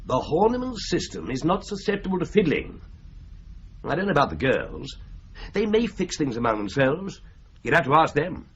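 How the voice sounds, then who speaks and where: A dry, offhand young clerk in a radio drama, his voice lively and rising and falling. The sound is dull and lo-fi, with a faint hiss behind it. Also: fairly high pitch, normal speed.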